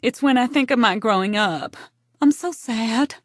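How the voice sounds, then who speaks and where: A woman's voice: a little high, measured pace, no echo, clean studio sound, voice pushed up. A woman voicing a melodramatic, self-pitying young would-be model who is nosy and speaks with theatrical intensity. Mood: tearful, sad.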